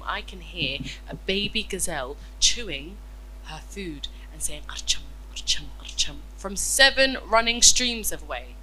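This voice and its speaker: A boastful male hyena from a children's tale who swears he can still hear everything, as a woman with a cold acts him out. The telling is slow and theatrical, stretched out with dramatic pauses. The voice is bright and a little stuffy. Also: mid-range voice, exaggerated voice, poor audio. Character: boastful male hyena whose hearing has faded.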